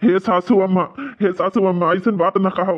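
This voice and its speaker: A man's voice: sits high, fairly even intonation, normal speed, lo-fi sound, close to an everyday voice. A man voicing an anxious, humbled village son-in-law in an Awadhi cartoon comedy, pleading melodramatically and repeating himself. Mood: pleading, apologetic.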